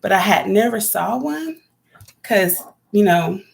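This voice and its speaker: A woman with a raspy voice chatting casually on a live stream as a homesteading vlogger, rambling and talking to viewers by name. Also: mid-range voice, expressive, slow. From California.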